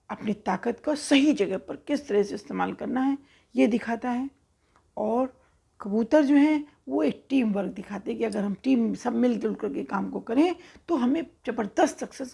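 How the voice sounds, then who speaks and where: A woman's voice: on the high side, vocal fry, warm tone, breathy edge. A woman storyteller narrating for children in a conversational, explanatory way, checking in with her listeners.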